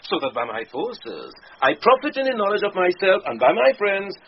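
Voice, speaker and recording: A lo-fi radio play recording of a mocking jester. His highish voice swoops dramatically through teasing, singsong wordplay, very theatrical and sly. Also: very animated, a little fast. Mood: wry teasing. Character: mocking, quick-witted male jester, teasing and begging coins. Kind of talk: classical radio drama acting, Shakespearean comedy. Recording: dull lo-fi radio recording, background noise.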